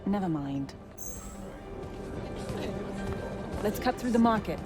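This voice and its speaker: A woman's voice: medium pitch, animated, a little fast, close to an everyday voice. A woman playing a determined young thief about to enlist, bantering in a dry, understated way in video game dialogue. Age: young adult. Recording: music behind the voice.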